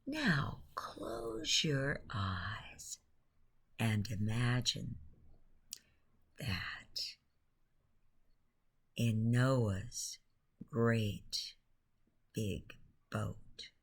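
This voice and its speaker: A woman narrating a guided bedtime story in a hushed, soothing way, with long pauses and a breathy, near-whispering voice. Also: extremely low pitch, sing-song.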